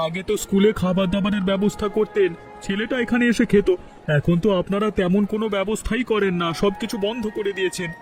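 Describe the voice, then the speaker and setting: A man's voice: sits high, steady tone, fast, darkish tone, close to an everyday voice. Man voicing a bitter, poor village father. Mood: angry. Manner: defensive, bitter, complaining. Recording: cartoon audio with music behind.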